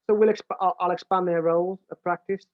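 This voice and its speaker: A man's voice: high voice, fairly even intonation, fairly quick, no echo. British male coach, big voice, plain-spoken, repeats himself, dry and thin phone-quality sound. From United Kingdom. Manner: restarts and repeats words often, plain-spoken. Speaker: American football coach. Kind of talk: casual explanatory talk. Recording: dry, tinny phone-like line.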